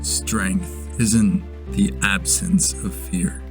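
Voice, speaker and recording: A man voicing an earnest, steady motivational narrator, reading inspirational script deliberately. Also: fairly low pitch, over music. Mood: solemn, earnest.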